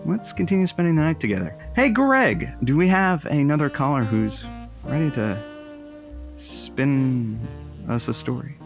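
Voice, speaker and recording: A man's voice: on the high side, very animated, gravelly, over music, exaggerated voice. An actor playing an eager, easily flustered stand-in radio host who bluffs his way along in a comedy audio drama. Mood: upbeat hosting. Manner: flustered, halting, eager to seem capable.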